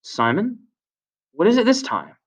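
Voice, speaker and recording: A man's voice: sits high, huge pitch swings, a little slow, breathy edge, pitched above the performer's own voice, exaggerated voice. A man voicing a troubled, exasperated boy leader in a storybook reading, speaking in short questioning bursts.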